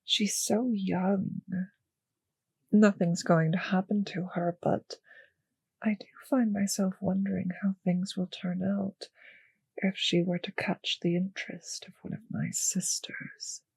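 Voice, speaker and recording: A woman performs a seductive, possessive vampire mistress for an intimate audio drama. Her delivery is slow and condescending, a teasing purr that slips toward whispers. The voice is breathy with a crackle of fry, recorded very dry and close. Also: mid-range voice, flat delivery, darkish tone, no room sound, full character voice. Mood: condescending, veiled threat. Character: cruel, possessive, seductive female vampire mistress toying with servant. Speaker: voice actor in an audio roleplay. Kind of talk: intimate scripted audio roleplay.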